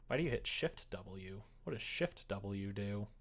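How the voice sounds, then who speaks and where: Male streamer, wry and casual, talking himself through work at a slow pace with heavy fry. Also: fairly low pitch, animated. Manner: wry, muttering to self while working. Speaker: live streamer developing a visual novel. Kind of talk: casual coding livestream chat, thinking aloud.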